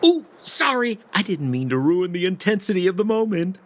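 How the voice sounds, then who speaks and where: An actor playing a smooth-talking, theatrical young rogue in a video game, glib and showy. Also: male voice, high-pitched, very animated, normal speed. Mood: mock apologetic. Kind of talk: English game voice acting.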